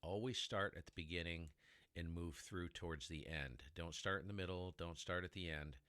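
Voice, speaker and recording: A man with a very low baritone voice hosting a casual ham radio tutorial, rambling and sidetracking as he explains, recorded in a heavily dampened room. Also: normal speed, pushed and bright, no room sound. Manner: rambling, self-interrupting explainer with mic tests. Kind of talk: casual how-to demonstration.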